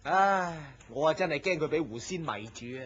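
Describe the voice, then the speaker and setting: An actor playing a nosy, superstitious office worker in a Hong Kong Cantonese radio drama, gossipy and full of exclamations. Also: male voice, fairly high pitch, expressive, moderate pace, a crowd in the background, lightly acted. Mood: gossipy, worried. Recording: muffled lo-fi audio with faint noise.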